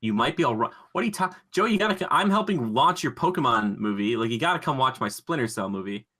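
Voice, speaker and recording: A man co-hosting a podcast in casual chat, pitching his ideas in an excitable, slangy, rambling way. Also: fast talker. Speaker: podcast co-host.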